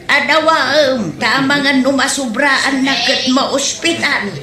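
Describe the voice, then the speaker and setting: A woman's voice: high-pitched, steady tone, slow pace, pushed and bright, heavily acted. A woman with an Ilocano accent playing a stubborn, dramatic, complaining heavyset woman in a comedy drama. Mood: griping, defensive. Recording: roomy echo, distant microphone.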